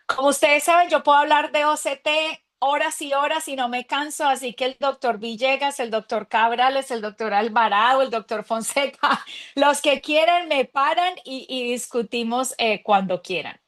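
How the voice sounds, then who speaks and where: A woman's voice: high voice, moderate pace. Chatty woman presenting on a video call. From Colombia. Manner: warm, chatty, enthusiastic, speaks to colleagues. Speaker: interventional cardiologist giving a talk. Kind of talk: friendly lecture over video call.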